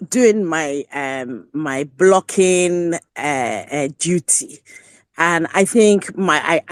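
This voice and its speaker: Slow, low-voiced woman. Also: no room sound, clean studio sound. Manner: hesitant, reflective. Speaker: speaker in an online discussion space. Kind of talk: casual personal remarks.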